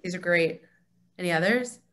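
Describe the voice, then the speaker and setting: A woman's voice: fairly low pitch, moderate pace, fairly bright tone. A woman leading an informal group discussion on a video call, encouraging and affirming as she teaches. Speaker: discussion leader of a temple group.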